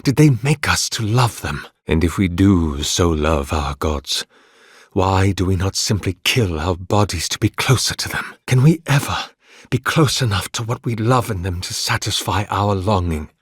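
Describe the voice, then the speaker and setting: Ancient game priest, lowish voice, unhurried, solemn, eloquent, devout, weary, very dry close sound. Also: male voice, expressive, slightly rough, no room sound. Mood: melancholy, longing. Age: older. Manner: formal, measured, eloquent, solemn. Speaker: priest of a temple relic. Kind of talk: scripted video game dialogue.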